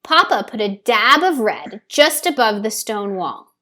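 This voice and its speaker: A woman reading a children's picture book aloud with deliberate, careful phrasing for young listeners. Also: mid-range voice, sing-song, sharp tone. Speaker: storybook reader. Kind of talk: children's story read-aloud, scripted narration.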